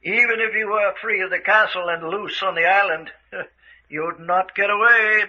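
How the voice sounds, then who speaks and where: A man's voice: high voice, steady tone, moderate pace, bright, forceful tone. A man acting an older, genteel villain who rules a castle, smug and coolly menacing, taunting with dry chuckles in an old-time radio mystery style. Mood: taunting menace. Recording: dull, lo-fi radio drama recording.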